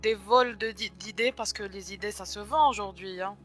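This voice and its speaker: A woman from Algeria joins a livestream chat as a guest. She speaks softly and politely, pausing as she goes. Faint music plays in the background. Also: mid-range voice.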